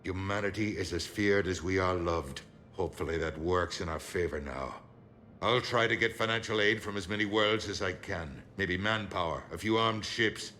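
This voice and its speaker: An actor playing a stern, shrewd older politician in a video game, speaking in a measured, formal, rhetorical way. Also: male voice, low-pitched, pushed and bright, a little hiss or hum.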